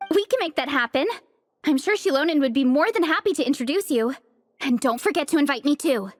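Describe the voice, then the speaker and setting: A woman's voice: high-pitched, expressive, fairly quick, no room sound, clean studio sound, faint music behind. An actress playing an upbeat, encouraging young girl in an anime game, peppy and reassuring. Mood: cheerful. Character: upbeat, loyal, encouraging young girl, a confident best friend.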